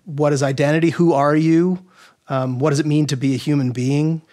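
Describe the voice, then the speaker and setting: A man's voice: a little high, fairly even intonation, moderate pace, warm tone. A man giving casual, agreeable explanations as an expert guest, often posing rhetorical questions. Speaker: guest expert, audio drama maker. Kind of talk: casual explanatory interview talk.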